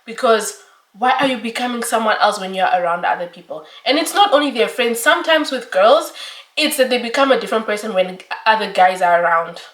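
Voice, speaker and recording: A woman in her twenties talking casually to camera in her own room, chatty and animated, laughing often. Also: a little high, a little fast, roomy sound, distant mic. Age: 20s. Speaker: vlogger. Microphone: camera mic.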